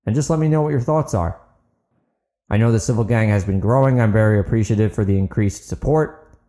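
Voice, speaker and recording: A man narrating and hosting an audiobook podcast, with earnest, deliberate phrasing. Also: lowish voice, mellow. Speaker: podcast host, author and narrator.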